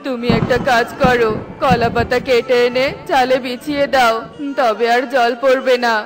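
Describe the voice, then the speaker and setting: A sickly father songbird voiced by a man in a children's cartoon. He sounds mournful and resigned, on a flat, monotone line. Soft music sits faintly behind. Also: extremely high pitch, moderate pace. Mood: sorrowful, resigned. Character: sickly, sorrowful father tailorbird, devoted husband.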